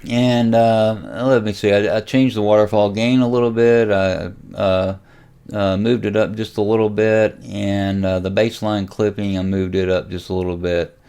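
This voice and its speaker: A man hosting a casual, unscripted software tutorial, thinking aloud and hesitating as he goes. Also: fairly low pitch, level tone, moderate pace, clean tone. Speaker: tutorial host and amateur radio hobbyist.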